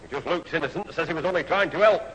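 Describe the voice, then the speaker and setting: A man's voice: on the high side, rapid-fire, fairly bright tone, lightly acted. Long-suffering bobby played by a man, officious and weary, lightly hissy old radio sitcom recording. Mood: exasperated. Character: long-suffering, officious English police constable. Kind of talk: 1970s radio sitcom acting. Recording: reverberant, slightly noisy old radio recording.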